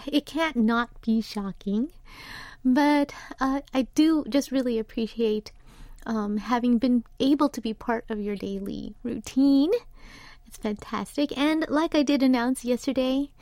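A woman's voice: a little high, no room sound, studio quality. A woman radio DJ with an unusual accent, chatting casually and reading listener messages aloud between songs. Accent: American English. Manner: chatty, relaxed, with fillers. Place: radio studio. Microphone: studio microphone.

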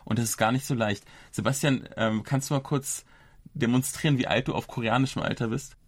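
A man's voice: mid-range voice, steady tone, fairly quick, no room sound. German guy in his 30s, easygoing podcast chat, dry close sound, rambles a bit. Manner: conversational, explains at length with small restarts. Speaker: podcast host.

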